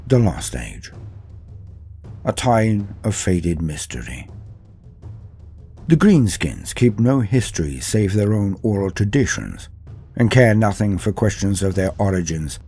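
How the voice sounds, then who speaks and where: A man giving a measured, dramatic scripted narration of a fictional history. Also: on the low side, very animated, mellow, husky, faint music behind. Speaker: lore narrator.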